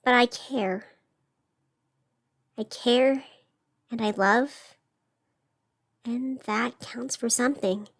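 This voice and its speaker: A woman playing a gentle, sincere night radio host in an audio drama, speaking in an earnest, encouraging, reflective way. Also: a little high, extremely slow, pauses often, full character voice.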